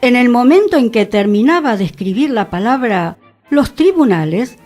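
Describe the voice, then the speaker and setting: A woman's voice: a little high, lively intonation, moderate pace, a little mellow. A woman with a Buenos Aires accent presenting a radio show and reading literature aloud expressively, over a music bed. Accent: Argentine, Buenos Aires.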